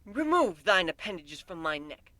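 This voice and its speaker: A man's voice: high voice, sing-song, moderate pace, a crowd in the background, voice pushed up. A man voicing an indignant, haughty pony princess with archaic speech in a story reading.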